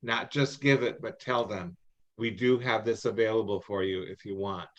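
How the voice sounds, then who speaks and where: A middle-aged man with a fairly low voice, heard through slightly muffled meeting audio. He talks in a folksy, halting way, repeating words as he gives practical advice informally. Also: fairly even intonation. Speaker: experienced contest judge and adviser. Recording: muffled, band-limited online meeting audio.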